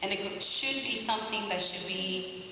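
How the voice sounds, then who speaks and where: A woman's voice: mid-range voice, moderate pace, sharp tone. A woman in her forties from Rico, giving an earnest motivational speech to students and quizzing the audience. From Puerto Rico. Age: 40s. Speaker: guest speaker. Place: large school hall. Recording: echoey hall from far off, faint hiss.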